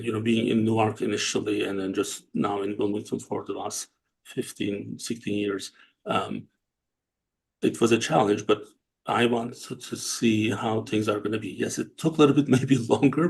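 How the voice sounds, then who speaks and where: A man from Turkey, hosting an interview in a casual, halting way with many fillers and restarts. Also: lowish voice, fairly even intonation, vocal fry, a little mellow, husky. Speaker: host and company CEO.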